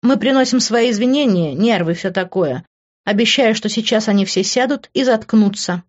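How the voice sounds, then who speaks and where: A man's voice: high voice, moderate pace, dull audio, voice pushed up. A man voicing a composed, authoritative and conciliatory policewoman in an audiobook. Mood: brisk, apologetic. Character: firm, composed policewoman, placating friend.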